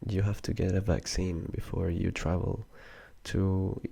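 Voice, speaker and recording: A young Peruvian man speaks English with a Spanish accent, soft-spoken and full of hesitant pauses. His voice is very low and gravelly. The tone stays dark and flat. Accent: Peruvian Spanish, mixed with Mexican and Argentinian. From Peru. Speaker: interview guest. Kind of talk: soft-spoken casual interview.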